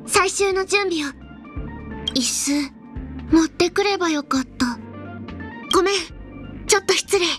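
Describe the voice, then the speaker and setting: A reserved young snowfield explorer in a game. Her voice sits very high, and she takes her time, with clipped, deadpan lines. Soft music plays underneath. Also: measured pace, pauses often. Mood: eager. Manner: low-key, laconic, softly deadpan. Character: aloof young female snowfield explorer girl. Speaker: game character voice. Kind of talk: game voice lines, Japanese dub.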